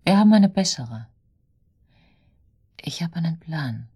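An actress playing a cold, resolute wife plotting murder in a German radio drama, intense and decisive, with a breathy, husky voice that often drops to a whisper. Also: female voice, sits low, lively intonation, a little slow, mellow, exaggerated voice. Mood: conspiratorial.

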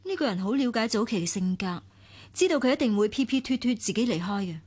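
A woman with a Hong Kong Cantonese accent acting a caring, perceptive family confidante in a radio drama, probing and gently admonishing. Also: on the high side, animated, fast. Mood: perceptive, questioning.

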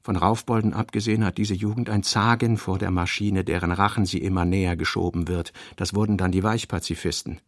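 An actor playing a brooding novelist narrator in a literary radio play, reciting narration and fragmentary notes in a measured, deliberate way. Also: male voice, sits low, fairly even intonation, dry recording, studio quality. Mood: reflective.

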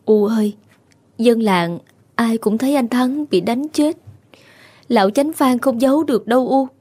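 A woman's voice: on the high side, a little slow, dark tone, husky. A woman voicing a grieving young village woman in a horror story, tearful and earnest. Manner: tearful, pleading.

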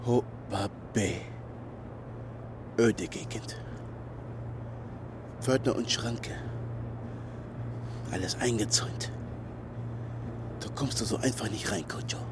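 A man's voice: lowish voice, takes it slowly, pauses often, noisy background. An actor playing a wary young man living illegally, in naturalistic radio drama dialogue and inner monologue. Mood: brooding. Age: young adult.

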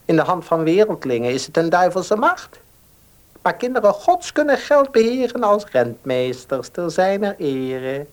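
A man's voice: sits high, sing-song, measured pace. A man playing a pious, calculating advice-giver in a Dutch radio play, sanctimonious and persuasive.

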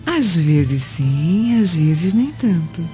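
A woman's voice: on the low side, very animated, very slow, full character voice. An actress playing a cynical, flirtatious Roman noblewoman in a radio drama, with a haughty, sly delivery. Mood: sly, cutting. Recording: dull lo-fi audio with music.

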